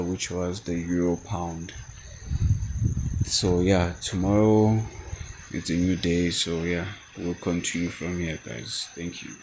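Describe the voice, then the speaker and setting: A man's voice: deep voice, slight background noise, rough recording. A man from South Africa, not a native English speaker, thinking aloud as he walks through trading charts as a forex mentor. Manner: thinking aloud, halting with fillers and restarts. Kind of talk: screen-share trading walkthrough.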